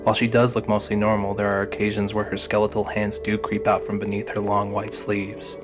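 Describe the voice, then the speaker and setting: A man's voice: lowish voice, completely flat delivery, a little fast. A man narrating a scripted fantasy audio drama in the role of storyteller. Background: music under the narration.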